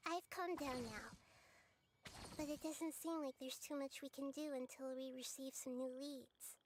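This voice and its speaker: An actress playing a calm, wise, youthful deity in a video game, recounting lore in a measured, thoughtful way. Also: female voice, sits high, heavy background noise. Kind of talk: scripted game dialogue.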